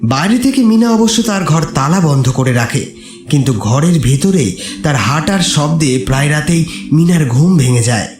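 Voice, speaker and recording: Male narrator for dramatic horror audio story; animated intonation, echoey sound over music. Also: fairly high pitch, normal speed.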